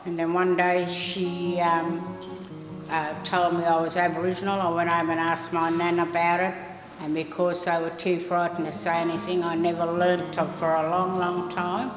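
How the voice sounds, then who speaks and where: Older woman, Australian accent, even monotone delivery, roomy reverb. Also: fairly low pitch, moderate pace. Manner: steady, earnest storytelling. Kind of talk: documentary interview. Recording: echoey hall with soft music.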